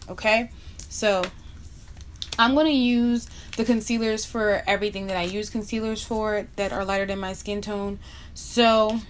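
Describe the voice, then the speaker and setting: Young woman, from Barbados, casual makeup chat, blunt slangy asides, faint background hiss. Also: mid-range voice. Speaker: beauty vlogger.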